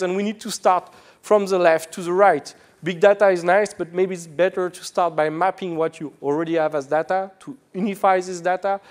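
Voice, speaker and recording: A man giving a conference keynote in a string of rhetorical questions. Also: on the high side. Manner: string of rhetorical questions, non-native phrasing. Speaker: keynote speaker.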